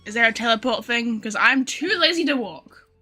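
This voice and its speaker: Animated woman streaming a game. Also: a little high, normal speed. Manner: sarcastic, joking, sweary. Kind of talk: casual live gameplay commentary.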